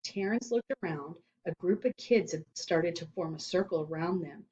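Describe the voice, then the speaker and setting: A woman reading a children's storybook aloud in an expressive narrating style. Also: fairly low pitch, moderate pace, a little hiss or hum. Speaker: storybook reader. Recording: slightly dull, lo-fi sound.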